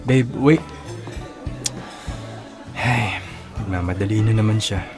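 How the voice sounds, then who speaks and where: A man's voice: fairly low pitch, slow. A Filipino man playing an earnest male college student in a naturalistic, conversational audio drama. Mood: slightly awkward. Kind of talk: naturalistic radio-style drama acting. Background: background music with guitar.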